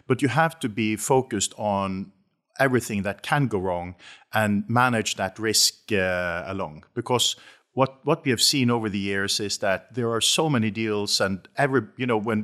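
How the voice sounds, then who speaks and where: A middle-aged man with a Norwegian accent, answering as an interview guest in a halting, conversational way with repeated words and hesitations. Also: fairly low pitch, a bit bright. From west coast of Norway. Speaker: interview guest, shipping company chief executive.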